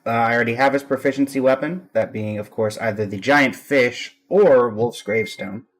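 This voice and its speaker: A man's voice: medium pitch, normal speed. A man giving casual live-stream game commentary as the host, with a dry, resigned way of talking. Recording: music playing behind the voice. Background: background music.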